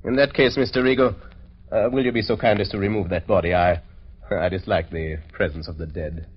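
An actor playing a cold-blooded, urbane doctor villain in an old-time radio drama, speaking with measured, polite menace and deliberate hesitations. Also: male voice, low-pitched, fairly even intonation, husky. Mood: suave, intrigued. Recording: dull, noisy old radio recording.

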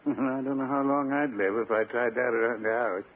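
An actor playing a cautious, henpecked older small-town druggist in 1950s radio comedy, with folksy, hesitant understatement. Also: male voice, medium pitch, brisk, slightly rough, close to an everyday voice. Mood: deadpan. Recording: muffled, faintly noisy old radio broadcast.

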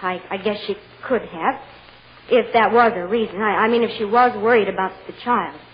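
A woman's voice: medium pitch, normal speed, lightly acted. A woman acting a plain-spoken hotel chambermaid as a witness in an old radio drama, earnest, deferential and a little hesitant. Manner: deferential, hesitant, simple folksy wording. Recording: muffled, noisy old radio recording.

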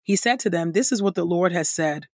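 A woman reading the Bible aloud in a measured, scripted way, with clear and deliberate enunciation. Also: on the low side, dry recording, studio quality. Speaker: scripture reader and podcast host.

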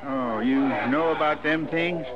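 An actor playing a hesitant, henpecked American circus husband, apologetic and rustic, in a 1950s radio western. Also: male voice, a little high, unhurried, close to an everyday voice. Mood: wheedling. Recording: dull radio recording, crowd behind.

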